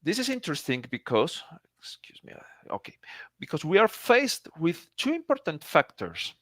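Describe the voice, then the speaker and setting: A man's voice: a little high, wildly swooping pitch, normal speed, fairly bright tone, dry recording, clean studio sound. A man from Colombia, a native Spanish speaker with a Colombian Spanish accent, gives an earnest, formal conference lecture as a psychiatrist, often restarting his phrases.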